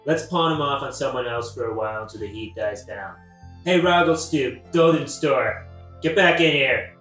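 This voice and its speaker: A man's voice: medium pitch, moderate pace, fairly bright tone, lightly acted. An actor playing a boastful, peevish king with a pompous, superlative-heavy way of talking in a satirical audio play. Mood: bossy, irritated. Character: boastful, self-congratulating, peevish modern-slang king. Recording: roomy, distant mic, music behind.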